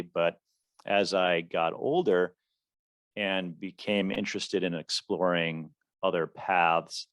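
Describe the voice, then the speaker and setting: A man from the Washington, D.C. area, a guest in an interview, telling his story in a measured, thoughtful way. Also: low-pitched, no echo. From Washington, D.C. area, USA.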